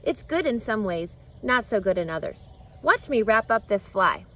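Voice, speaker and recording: A woman's voice: medium pitch, very animated, moderate pace. A woman voicing a refined, kindly female spider from a children's storybook, composed, articulate and self-assured. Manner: composed, articulate, gently matter-of-fact. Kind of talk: children's storybook read-aloud.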